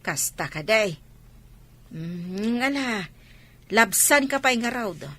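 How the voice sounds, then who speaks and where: A woman's voice: on the high side, expressive, slow, bright, forceful tone, exaggerated voice. A woman with an Ilocano Filipino accent playing a nosy, gossipy village woman in an audio drama. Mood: needling, nosy. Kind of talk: amateur audio drama acting.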